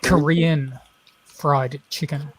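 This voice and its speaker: A man's voice: fairly high pitch, fairly even intonation, extremely slow, a crowd in the background. A man on an online panel, chatting casually and explaining things.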